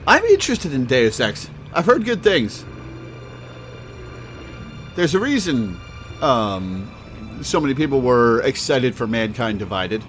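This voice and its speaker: A man's voice: mid-range voice, big pitch swings, measured pace, long pauses, a bit bright, soft background music. A man hosting a livestream in a casual, rambling way, musing and thinking aloud as he goes. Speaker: livestream host. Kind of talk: casual rambling stream chat.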